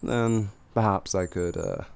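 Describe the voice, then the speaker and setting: A man's voice: deep voice, lively intonation, gravelly, a little mellow, smooth, no echo, exaggerated voice. A man playing a cocky, flirty, streetwise bandit in an improvised fantasy roleplay, with teasing, theatrical delivery. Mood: considering. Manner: teasing, theatrical, drawn-out phrasing with chuckles.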